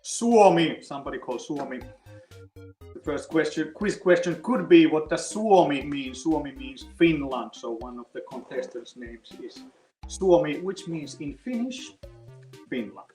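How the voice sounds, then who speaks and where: A man's voice: fairly high pitch, expressive, a little slow, mellow, reverberant, faint music behind. A man hosting a live online quiz, chatting to the players and explaining the answers at length between questions. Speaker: quiz host.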